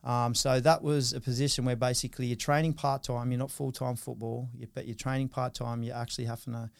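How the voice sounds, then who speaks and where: A man's voice: mid-range voice, level tone, a little fast, vocal fry, no echo, clean studio sound. A man in his thirties with an Australian accent, telling his life story as an interview guest in a rambling way, with many fillers and restarts. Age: 30s. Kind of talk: casual interview.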